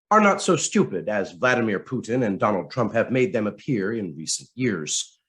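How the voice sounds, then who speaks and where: Male narrator, mid-pitched, for essay reading; highly animated intonation, emphatic and deliberate. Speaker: reader of written commentary.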